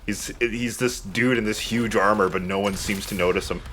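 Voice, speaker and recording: A man's voice: fairly low pitch, fairly even intonation, moderate pace, a bit bright, a little hiss or hum. A man giving casual commentary while he plays a video game, speaking as the host of a let's play. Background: game music.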